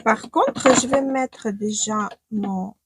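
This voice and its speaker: A woman's voice: fairly high pitch, slow pace, husky. A woman from Ukraine who speaks with a Ukrainian accent and whose first language is not the one she speaks. She chats casually to camera as a beauty vlogger, hesitating and thinking aloud while she applies makeup at home. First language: not French. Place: home room with daylight.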